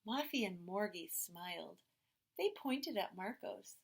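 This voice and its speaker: Slow, animated woman reading to children. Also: medium pitch. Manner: expressive, simple clear phrasing for children. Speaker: first grade teacher reading aloud. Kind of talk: picture book read-aloud for children.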